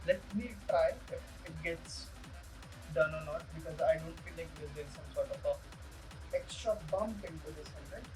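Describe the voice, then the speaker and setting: Young Indian-accented man, higher-pitched, slightly distant. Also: moderate pace. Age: young adult. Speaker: product reviewer. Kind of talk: casual product demo talk. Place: small indoor room. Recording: distant mic, music underneath.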